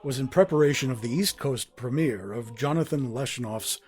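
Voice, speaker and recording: A man narrating a scripted documentary as host, in a measured, formal and articulate manner. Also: mid-range voice, darkish tone. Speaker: host and director of a radio theater.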